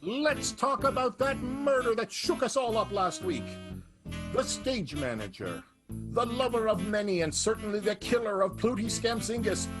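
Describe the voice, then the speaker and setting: Guy hosting an old-timey radio soap, really high animated voice, hammy and playful, music behind him. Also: moderate pace, rough recording. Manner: melodramatic, playful, tongue-in-cheek. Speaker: announcer, host of improvised radio soap. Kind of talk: theatrical old-time radio show narration.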